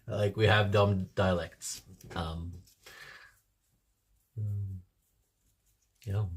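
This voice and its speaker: A Norwegian man in his forties with a Norwegian accent, chatting casually to his livestream viewers, rambling with frequent restarts and laughter. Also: lowish voice. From Norway. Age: 40s. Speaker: livestream host.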